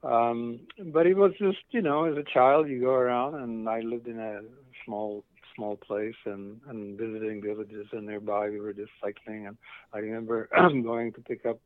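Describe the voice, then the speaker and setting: A man's voice: medium pitch, fairly even intonation, moderate pace, dry recording, phone-quality sound. A middle-aged man with a Slovak accent giving casual, reflective interview answers in an enthusiastic, rambling way, often interrupting himself. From eastern Slovakia. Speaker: interview guest, cycling company founder.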